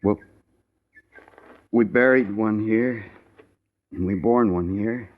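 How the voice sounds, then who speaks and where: A man's voice: lowish voice, takes it slowly. A man playing an earnest, homespun American frontier settler in a 1950s radio western. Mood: solemn, content. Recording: muffled old radio broadcast.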